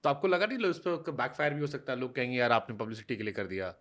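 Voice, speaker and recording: A man's voice: medium pitch, rapid-fire, a bit bright. A man interviewing a guest, asking long, wandering questions with English phrases mixed in and often humming in agreement.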